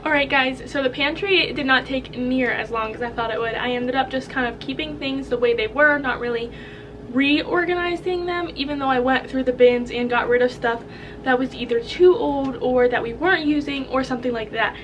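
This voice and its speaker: A woman's voice: high voice, normal speed, fairly bright tone, a little hiss or hum, rough recording. A young woman with a head cold and a stuffy voice, chatting casually to camera about organizing her kitchen, upbeat and friendly. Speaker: vlogger. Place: home kitchen.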